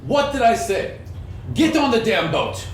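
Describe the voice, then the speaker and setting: A man's voice: very high voice, big pitch swings, measured pace, distant mic, pitched above the performer's own voice, full character voice. Guy playing grumpy bossy uncle with a boat, tabletop game, curt, roomy echo. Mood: angry, barking. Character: gruff, exasperated uncle who runs a ship. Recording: roomy, noisy group recording.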